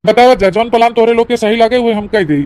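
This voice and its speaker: A high-voiced cartoon insurance agent , a man acted by a woman, speaks with an Awadhi accent. He explains patiently and persuasively, in the homely, colloquial patter of a village salesman. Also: fairly even intonation, fast talker, darkish tone, close to an everyday voice. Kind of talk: Awadhi family comedy cartoon.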